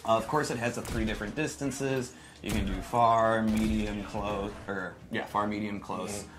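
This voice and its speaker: A man's voice: fairly low pitch, fairly even intonation, normal speed. A man giving casual, enthusiastic gameplay commentary as a developer showing off a video game, with frequent exclamations.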